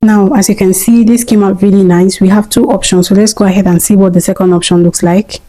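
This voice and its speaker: Calm woman walking through a tutorial. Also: medium pitch, fast, slight background noise. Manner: calm, step-by-step instructing. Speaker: YouTube tutorial host. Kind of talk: screen-recorded software tutorial.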